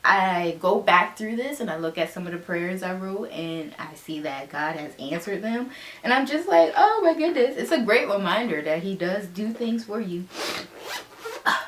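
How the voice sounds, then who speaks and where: A woman's voice: mid-range voice, animated, moderate pace, echoey room, slight background noise. A woman in her twenties with a stuffed-up nose, chatting casually and upbeat as a vlogger showing her things. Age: 20s. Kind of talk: casual show-and-tell chat. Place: her bedroom.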